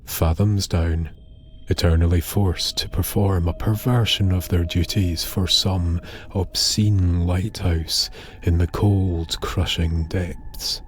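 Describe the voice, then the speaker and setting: A man narrating an eerie scripted tale in a solemn, measured, dramatic way with pauses. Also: low-pitched, fairly even intonation. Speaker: storyteller, narrator. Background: music.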